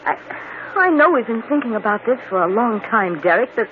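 A woman's voice: a little high, lively intonation, fairly quick, lightly acted. An actress playing an anxious, clinging young woman in a vintage radio drama. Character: anxious young female conspirator, clinging, outwardly gracious. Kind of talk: 1940s radio acting. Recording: very noisy, tinny old radio broadcast.

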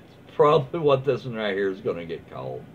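A dull, noisy home recording of a man reading online stories aloud. His pitch moves around a lot as he slips between reading and easygoing, rambling commentary. He sounds folksy and casual, like a host chatting from his own room. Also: big pitch swings, fast. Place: small room at home, indoors. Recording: dull lo-fi sound with clear background noise.

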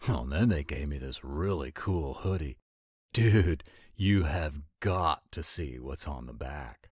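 Man acting laid-back werewolf sidekick, eager, dude drawl, husky, unhurried. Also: very deep voice, animated, a little mellow, no echo, clean studio sound, heavily acted. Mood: excited. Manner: drawling dude slang, hesitant asides.

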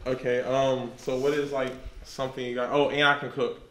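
A man's voice: medium pitch, flat delivery, fairly quick, reverberant, noisy background. A young man on a blind date, joking and defensive in casual banter.

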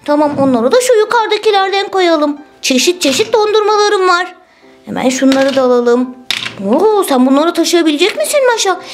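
Lady doing easygoing ice cream seller in kids toy play, high voice, music behind. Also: expressive, moderate pace. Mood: obliging. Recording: music bed under the voice.

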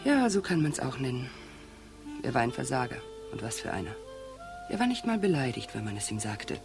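An actress playing a cool, mocking widow with a self-possessed, provocative manner in a radio crime drama. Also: female voice, very deep voice, very animated, fairly quick, gravelly, music in the background, heavily acted. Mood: bitter contempt. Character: cool, scornful, provocative widow of a murdered man.

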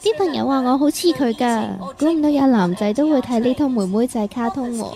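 A woman's voice: high voice, a little fast, warm tone. A woman playing a polite, sweet young commuter in a radio drama. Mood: friendly.

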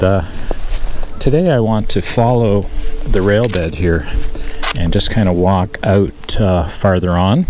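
Deep-voiced man narrating a hike outdoors. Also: darkish tone. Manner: chatty, easily amazed, lots of fillers. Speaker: hiking vlogger. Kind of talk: casual walking commentary. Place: outdoors in a wooded gully by an old rail bed. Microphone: action camera. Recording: noisy outdoor camera audio.

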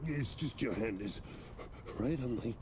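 A man's voice: mid-range voice, expressive, measured pace, noisy background, close to an everyday voice. A man playing a bumbling, swoopy-voiced cyborg mechanic. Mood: awkward, uneasy. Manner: hesitant, stammering, blustering. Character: fat, bumbling, middle-aged cyborg mechanic, cocky but cowardly. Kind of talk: video game cutscene acting.

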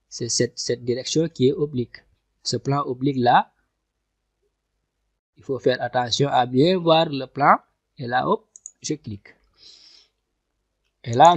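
A man giving a screen-recorded software tutorial, talking through each click step by step and often repeating himself. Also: medium pitch, measured pace, long pauses, dry recording. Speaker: software instructor. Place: at a computer, voice over screen capture.

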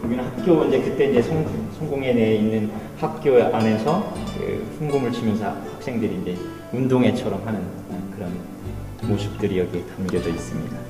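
A middle-aged man, an Anglican priest, giving an informal explanatory tour and pausing to find his words. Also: on the low side. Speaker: Anglican priest, church guide. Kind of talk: informal explanatory guided tour of church history. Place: inside a wooden church hall. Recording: echoey hall, distant mic, faint music.

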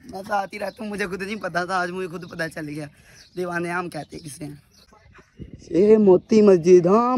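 Young man, chatty vlogger, slightly high voice, phone mic outdoors, faint birdsong. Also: darkish tone, slight background noise. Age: young adult. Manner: chatty, talks to viewers while walking. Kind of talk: casual vlog narration. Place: outdoors at a historic fort. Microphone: phone held at arm's length.

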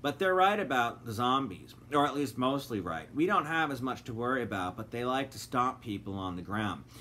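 A man's voice: medium pitch, very animated, normal speed, bright, forceful tone, a little hiss or hum. A man voicing a cocky, know-it-all bumblebee who talks brashly. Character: cocky bumblebee, streetwise gambler.

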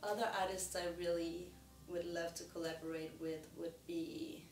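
Danish woman, soft talk in a roomy echo. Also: on the low side, level tone, far from the mic. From Denmark. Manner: soft-spoken, many pauses and ums. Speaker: singer-songwriter. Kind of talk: talking to camera about her music. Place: indoors in front of a plain wall.

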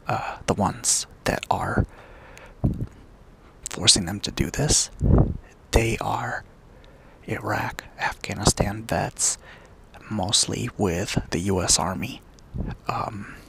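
A man speaking in a hushed, halting, unscripted monologue, breathy and gravelly, with frequent pauses. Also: on the low side, rough recording. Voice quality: breathy whispering with gravelly fry.

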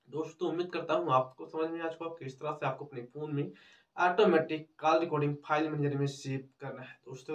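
Higher-pitched man, roomy tutorial recording. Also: sharp tone, slight background noise. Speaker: tech tutorial host. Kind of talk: step-by-step tutorial to camera. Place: small indoor room with cloth backdrop. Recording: roomy, distant, dull microphone sound.

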